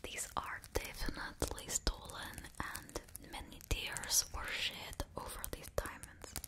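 A woman's voice: unhurried, lightly acted. A young woman voicing a cheerfully ruthless stylist to supervillains, whispering close to the mic in a put-on Russian accent. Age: young adult. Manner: close whispering, drops little words. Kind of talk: whispered ASMR roleplay. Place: small indoor studio with backdrop. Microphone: close mic, face near camera.

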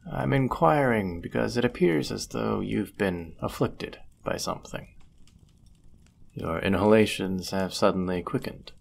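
Man playing a low, gravelly, suave medieval innkeeper. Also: slight background noise. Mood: flirtatious. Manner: formal, ornate, suave, teasing phrasing. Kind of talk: scripted roleplay audio drama, addressing the listener.

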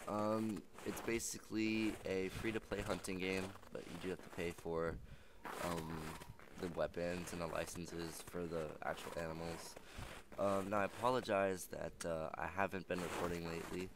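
Young male gaming host, low and slightly raspy, rambling casual delivery, static-laden home mic. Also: little pitch movement, normal speed. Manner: rambling, filler-heavy, chatty. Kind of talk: casual let's play commentary. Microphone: old, static-prone headset mic.

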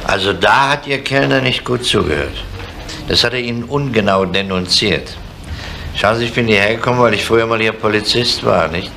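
A gruff older inspector in a seventies radio crime drama. His voice sits low and he probes insistently. There is clear noise behind him on the recording. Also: normal speed. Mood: needling, calm. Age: middle-aged. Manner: needling, insistent, with tag questions. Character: gruff, probing older police inspector, male. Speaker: actor in a crime radio play. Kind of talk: 1970s German radio drama acting. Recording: noisy 1970s radio recording.

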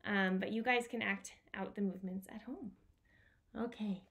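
A woman in her thirties reading aloud to young children in an animated way, with simple repeated phrases. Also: mid-range voice, a little slow, dull audio. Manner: animated, simple repeated phrases, switches between Spanish and English. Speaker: children's storytime host. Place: small home room with bookshelves.

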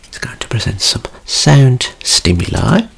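A man in his thirties speaks as a doctor in an ASMR roleplay, mostly whispering with a creaky edge. His delivery is very unhurried and reassuring, with a clinical bedside manner. He is recorded up close in a small room. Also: medium pitch, sing-song. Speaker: ASMR creator acting as a doctor.

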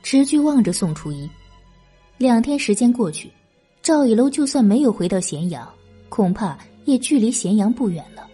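A woman narrates a historical audiobook. Her voice is fairly high and very expressive, with a mellow, slightly husky tone. Music plays behind her. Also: normal speed. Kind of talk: dramatic scripted narration of a historical novel.

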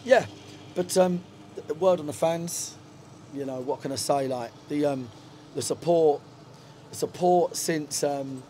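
A man's voice: a little high, unhurried, dark tone, a little hiss or hum. A middle-aged man, a football manager, talking frankly in a post-match interview in a stadium dugout, with lots of fillers and restarts. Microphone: handheld reporter mic.

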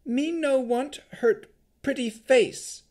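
A man voicing a childlike, plaintive female golem who talks slowly in simple broken baby talk. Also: very high voice, darkish tone, pitched above the performer's own voice. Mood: sullen.

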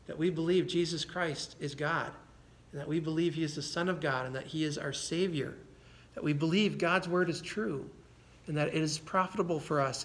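Pastor, male, earnest conversational sermon delivery, voice a touch high. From United States. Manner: earnest, conversational preaching with repeated words and soft laughs.

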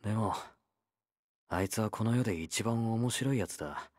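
A man's voice: lowish voice, normal speed, a little mellow, dry recording, studio quality, natural voice. A male actor playing a laid-back young convict fighter in a game, blunt, teasing and nonchalant, with a drawl. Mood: casual, wry. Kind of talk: Japanese game character voice lines.

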